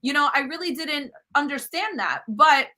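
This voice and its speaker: A woman's voice: sits high, lively intonation, normal speed, pushed and bright. A woman, a guest telling stories animatedly over a video call, full of fillers and restarts. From New York, US. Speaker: guest, cooking show host. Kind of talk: casual video-call interview. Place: home room, indoors.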